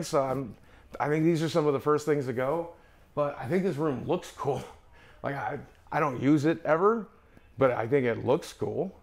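A middle-aged man talking casually and candidly to camera, in a self-deprecating way, as he shows people around a house. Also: a little high. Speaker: online video creator giving a house tour. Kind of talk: casual talk to camera, walking through a house. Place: rooms of a house, indoors. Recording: music playing behind the voice. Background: background music.

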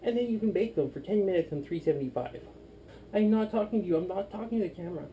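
A man's voice: high voice, quick pace, warm tone, slight background noise, low-quality recording. A drunk young man rambling and playing silly while he bakes in a small home kitchen, recorded by a camera across the room. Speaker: vlogger. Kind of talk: drunken cooking demo vlog.

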